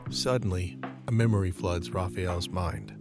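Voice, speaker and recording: A man's voice: sits low, steady tone, a little slow, over loud music. A man reading scripted scene narration for an audio drama. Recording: clearly audible background noise.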